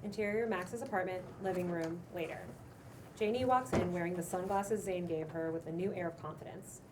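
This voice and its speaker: Woman narrating script directions at a table read, lowish voice, noisy room. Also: a little fast, far from the mic. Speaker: stage direction reader.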